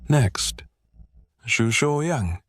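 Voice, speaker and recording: A man voicing an exam official who makes deliberate, formal announcements in an animated dub. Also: mid-range voice, no room sound, exaggerated voice. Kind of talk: animated web drama dub.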